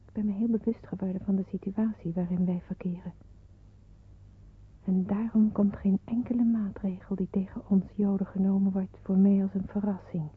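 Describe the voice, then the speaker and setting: An actress playing a grave young woman narrating a radio drama in the first person, hushed and measured. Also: medium pitch, steady tone, a little hiss or hum, natural voice. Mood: grave, somber. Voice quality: very breathy, hushed. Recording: muffled phone-like old radio recording.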